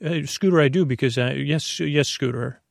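A man voicing a cutesy imaginary creature companion that patiently agrees and gently prompts in a podcast skit. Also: mid-range voice, normal speed, vocal fry, dry recording, studio quality. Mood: patient, prompting.

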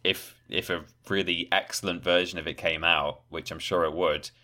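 A man's voice: low voice, fairly even intonation, moderate pace, pushed and bright. A man with a Yorkshire accent co-hosting a podcast, chatting in a dry, sarcastic, rambling way. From Yorkshire, England. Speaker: podcast co-host. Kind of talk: casual chat.

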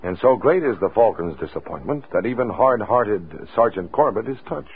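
A man's voice: fairly low pitch, animated, normal speed, breathy edge. A man narrating as the announcer of a 1940s radio mystery serial, in a scripted style. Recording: tinny old radio recording, faint music. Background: faint music.

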